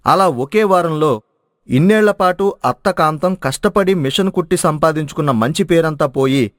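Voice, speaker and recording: Higher-pitched man narrating a story. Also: no room sound, clean studio sound. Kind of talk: scripted moral story narration.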